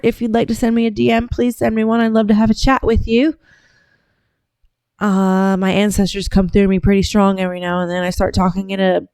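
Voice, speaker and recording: A woman's voice: on the low side, mellow, smooth, dry recording. A woman from the US South hosting a casual livestream, talking in a chatty, rambling way that often trails off and restarts. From Georgia, US South. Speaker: livestream host.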